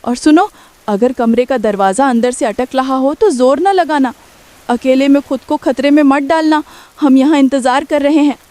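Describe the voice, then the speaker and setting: A woman's voice: sits high, normal speed, warm tone, natural voice. A woman playing a worried, caring poor village mother in a Hindi cartoon story, earnestly instructing and protecting her family. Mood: worried, urgent.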